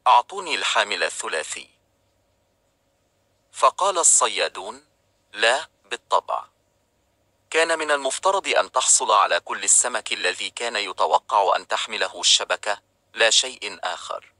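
A man narrating a children's storybook in a calm, even reading style. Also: lowish voice, moderate pace, pauses often, dry recording.

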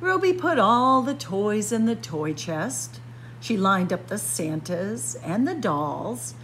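A woman reading a picture book aloud to young children in a playful, expressive story time manner. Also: mid-range voice, a little hiss or hum, poor audio. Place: indoors, library with bookshelves.

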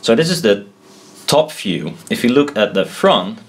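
A man giving an informal tutorial at a desk, thinking aloud in a halting, self-deprecating way. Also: lowish voice, sing-song. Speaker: presenter explaining loudspeaker technology. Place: indoors at a desk.

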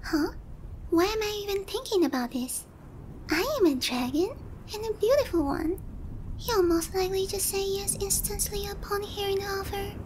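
A woman's voice: extremely high pitch, normal speed, darkish tone, a little hiss or hum, low-quality recording. A woman voicing a haughty, flustered, cutesy young dragon girl in an anime ASMR roleplay, condescending yet hesitant. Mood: smug, scheming. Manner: condescending, hesitant with giggles and murmured asides. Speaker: voice actor.

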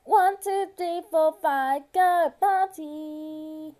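A very high, mellow voice delivers song lines in an amateur way. The words are half sung and half chanted, at a very slow pace. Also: faint music behind.

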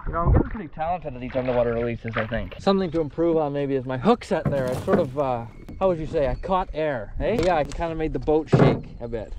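A man's voice: on the high side, lively intonation, fast, few pauses, music in the background, low-quality recording. A young man, filming himself from a small boat on a lake and chatting casually about his catches in a goofy, self-mocking way. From Canada. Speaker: fishing vlogger. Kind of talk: casual self-filmed commentary. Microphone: action camera.

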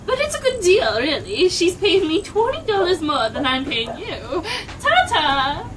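Comic audio drama mother, brisk and harried woman acting; high-pitched, animated delivery. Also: distant mic, a crowd in the background, heavily acted, poor audio. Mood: brisk, hurried.

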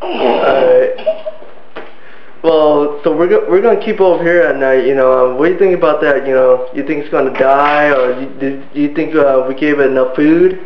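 Casting: young man from Vietnam, native Vietnamese speaker, rambling interviewer, slightly high voice, echoey lo-fi camera sound. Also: normal speed, a little hiss or hum. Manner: rambling, full of "you know" fillers. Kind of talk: joking home-video interview. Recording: echoey lo-fi home video.

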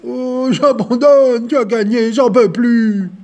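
A man voicing a grumpy male bear who hates being disturbed, shouting and growling at an intruder. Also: fairly high pitch, steady tone, normal speed, lo-fi sound, lightly acted. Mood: whining.

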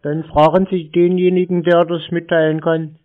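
A man's voice: on the high side, little pitch movement, normal speed. A man in a phone conversation, teasing and stubbornly questioning the other side. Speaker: prank caller baiting phone scammers. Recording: tinny band-limited phone line.

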